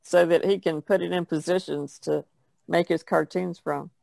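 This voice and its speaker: A woman's voice: on the low side, steady tone, normal speed, creaky, a little mellow, no echo. A woman chatting casually as a podcast co-host. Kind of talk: casual chat.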